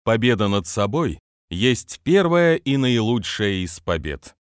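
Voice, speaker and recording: A man's voice: fairly low pitch, very animated, unhurried, no echo, studio quality. A man, a business trainer, reading his own motivational book aloud with non-native pronunciation and an earnest manner.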